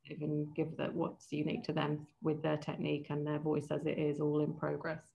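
A woman with a low, gravelly voice speaks as the guest on a video call. She explains how she casts singers and often stops to restart her thoughts. She has a light laugh. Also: completely flat delivery, a little fast, dark tone. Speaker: opera casting director, guest. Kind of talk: casual interview talk.

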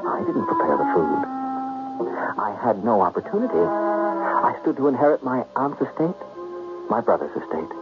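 A man's voice: medium pitch, wildly swooping pitch, normal speed. An actor playing a bitter, scheming nephew who narrates his crime in a tense 1970s radio drama style. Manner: dramatic, confessional, sullen. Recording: dull vintage radio recording, music behind.

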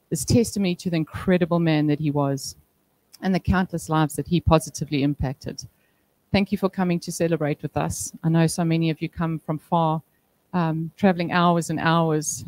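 A woman in her thirties giving a eulogy for her father at a memorial service, heartfelt with touches of gentle humor, speaking into a handheld mic in an indoor function room. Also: fairly low pitch, dry recording, rough recording. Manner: heartfelt, with light humor and soft laughs. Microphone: handheld microphone.

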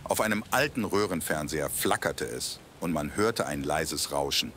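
A man's voice: a bass voice, expressive, moderate pace, fairly bright tone, a little hiss or hum. A man reading scripted narration for an audio drama in a storytelling manner. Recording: heavy ambient sound effects under voice.

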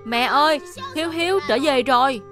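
A woman's voice: high voice, fairly quick, a bit bright. A woman voicing an earnest, curious and polite little boy in a children's cartoon, with a childlike manner. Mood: eager. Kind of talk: dubbed children's cartoon acting. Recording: music behind the voice.

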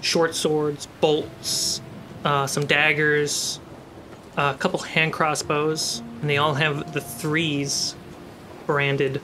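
A man's voice: a little high, little pitch movement, extremely slow, background noise. A man narrating a tabletop roleplaying game as game master, with ambient sound behind him. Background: ambient sound effects.

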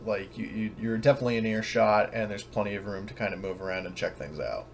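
A man narrating a tabletop game as game master in a casual, halting, self-correcting way. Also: on the low side. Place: at home, online voice session. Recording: clearly noisy home recording.

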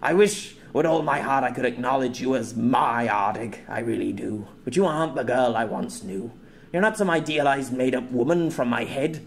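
A man's voice: mid-range voice, normal speed, a little hiss or hum, close to an everyday voice. A man voicing a lonely, reclusive man who pleads while crying and stammering. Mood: earnest. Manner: desperate, stammering.

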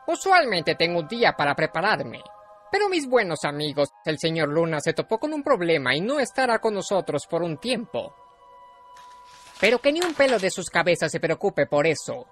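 A woman's voice: on the low side, big pitch swings, moderate pace, faint music behind. A woman voicing a rat who narrates a storybook, polite and theatrical but nervous and grumbling. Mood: flustered storyteller.

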